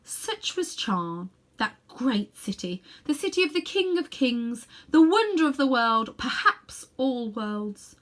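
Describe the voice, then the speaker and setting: Woman plays storybook sorceress queen: raised, animated pitch, haughty, declamatory, ruthless. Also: slow, few pauses. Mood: grand, boastful. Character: imperious ruthless sorceress queen, proud and grandiose. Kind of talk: dramatic children's storybook reading.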